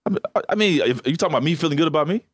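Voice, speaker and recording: Fast-talking animated male co-host. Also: fairly high pitch, no room sound. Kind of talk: casual chat.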